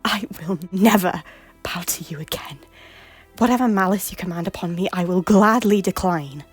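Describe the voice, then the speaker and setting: A woman playing a husky, earnest remorseful noblewoman. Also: on the low side, normal speed, faint music behind. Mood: defiant. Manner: earnest, pleading, often hushed. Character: remorseful young noblewoman seeking forgiveness. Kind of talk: audio drama acting.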